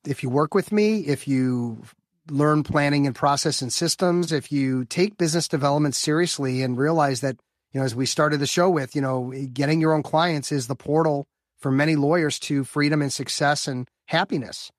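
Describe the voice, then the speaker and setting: A man's voice: fairly high pitch, normal speed, no room sound, studio quality. A man in his fifties from the Chicago area, a business coach answering interview questions in an enthusiastic, upbeat way, often repeating words as he builds a point. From Chicago area, US Midwest. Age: 50s. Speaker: guest, business development coach for lawyers.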